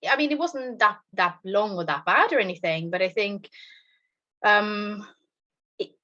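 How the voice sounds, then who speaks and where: A woman's voice: medium pitch, measured pace, bright, forceful tone. Norwegian-accented woman on a dull remote line. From Norway. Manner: chatty and reflective, open about herself. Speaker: podcast guest, nutrition coach. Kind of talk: casual chat.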